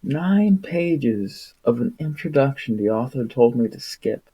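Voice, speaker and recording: A man livestreaming a book reading in a casual, rambling, irreverent way, with a husky voice and an exasperated, sweary manner. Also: medium pitch, animated, unhurried, mellow, slight background noise. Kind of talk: casual rambling livestream with read-aloud passages.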